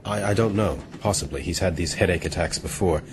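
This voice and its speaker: An actor in a radio drama playing an earnest, courteous ship's mate, measured and purposeful. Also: male voice, sits low, natural voice. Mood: concerned, hesitant. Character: earnest, resolute ship's mate, educated man plotting escape. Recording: audio drama with clear ambient noise.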